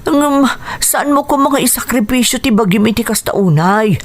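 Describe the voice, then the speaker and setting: A young, supportive friend of a boxer in a radio drama. The voice is on the high side, with a caring and anxious manner. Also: female voice, expressive, normal speed. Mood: concerned, supportive. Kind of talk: Ilocano radio drama acting.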